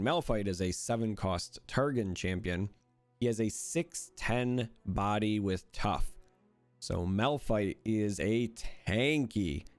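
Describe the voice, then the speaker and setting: A man whose voice is giving out, speaking in a fairly low register. He explains game cards in an eager, joking way. The recording is close and dry, with soft music faintly behind him. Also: clear, resonant tone, dry recording. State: losing his voice. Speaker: card game content creator. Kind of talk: casual card review commentary.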